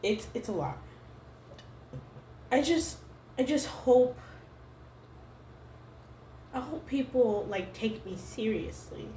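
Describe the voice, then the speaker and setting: A woman's voice: a little high, expressive, mellow, a little hiss or hum. Hesitant young woman in an echoey room. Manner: hesitant, halting, heavy use of "like" fillers. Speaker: vlogger. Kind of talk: personal confessional vlog. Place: room at home. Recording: roomy home echo.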